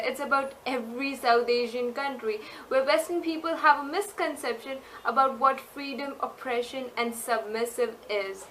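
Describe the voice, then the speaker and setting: A woman's voice: high voice, pushed and bright, slight background noise, poor audio. A woman giving an impassioned personal statement, emphatic and full of rhetorical questions.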